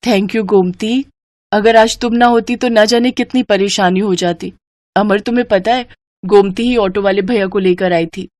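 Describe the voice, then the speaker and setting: A woman's voice: medium pitch, fairly even intonation, normal speed, darkish tone, no room sound, studio quality, close to an everyday voice. A woman voicing a friendly, appreciative young married woman in a moral story, speaking with easy familiarity. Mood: grateful. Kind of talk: Hindi moral story character dialogue.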